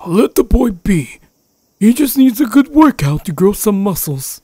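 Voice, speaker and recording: A man voicing a gruff, teasing elderly grandfather in a dramatized story. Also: sits high, sing-song, measured pace, dark tone, no echo, clean studio sound, heavily acted.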